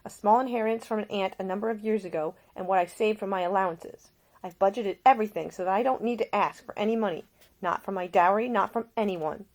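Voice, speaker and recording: A woman's voice: medium pitch, fast talker, a little mellow, pitched above the performer's own voice, lightly acted, rough recording. A woman voicing an independent, caring woman in love, soothing yet firm, in audiobook character dialogue. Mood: firm, determined.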